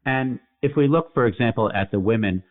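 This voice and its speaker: A man's voice: mid-range voice, a little fast. A man hosting a podcast, asking a guest about her study in a polite, inquisitive way. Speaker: podcast host, physician. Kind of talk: podcast interview questions. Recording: tinny phone-like line.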